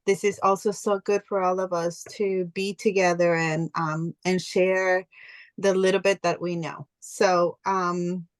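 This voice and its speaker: A middle-aged woman from Colombia, raised in the United States, introducing herself on a video-call panel as a yoga and meditation teacher, unhurried and halting, with ums. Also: on the low side, level tone, dry recording. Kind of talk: casual personal introduction on a panel.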